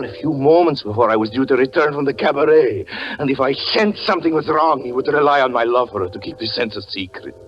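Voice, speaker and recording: An actor playing a tormented, brooding stage magician in a 1940s radio suspense drama, intense and theatrical with halting dramatic pauses. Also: male voice, mid-range voice, natural voice. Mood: bitter resolve. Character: tormented stage magician confessing jealous obsession, anguished and brooding. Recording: muffled 1940s radio broadcast with music.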